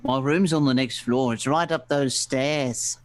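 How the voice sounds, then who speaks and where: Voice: medium pitch, unhurried, a little hiss or hum, natural voice. A performer voicing a defiant, surly young man under suspicion in an old-time radio crime play, curt and tough-talking.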